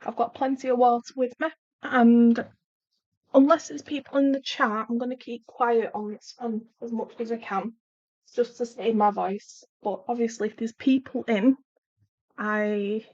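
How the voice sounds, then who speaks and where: A woman's voice: on the high side, fairly even intonation, a little slow, darkish tone, dry recording. A middle-aged woman chats casually on a live stream while swatching pencils, her nose bunged up and her throat sore, apologetic and trailing off as she works. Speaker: art channel host. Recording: dull lo-fi home live-stream audio.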